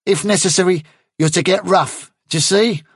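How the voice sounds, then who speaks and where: A man's voice: sits high, moderate pace, slightly rough, no room sound, clean studio sound, pitched above the performer's own voice. A man voicing a bossy male rabbit captain in an audiobook, giving clipped military orders. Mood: brisk, commanding. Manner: clipped officer's commands.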